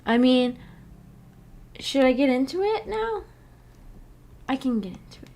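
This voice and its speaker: Woman streamer, slightly high voice. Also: sing-song, unhurried, creaky, darkish tone. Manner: giggly, hesitant, repeats words. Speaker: streamer hosting a book club discussion. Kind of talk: casual livestream chat, reading and answering chat.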